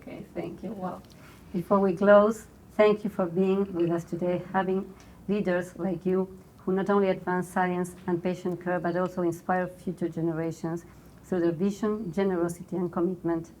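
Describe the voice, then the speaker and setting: A woman's voice: fairly low pitch, flat delivery, normal speed, darkish tone, a little hiss or hum, poor audio. A woman interviewing a guest at a conference, asking formal questions as if reading them out.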